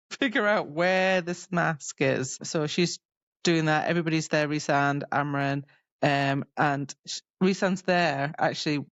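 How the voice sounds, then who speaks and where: Low-pitched woman, casual podcast chat. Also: measured pace, dry recording, highs cut off. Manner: animated retelling, acting out characters' dialogue. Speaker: podcast co-host. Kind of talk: casual chat recapping a fantasy novel.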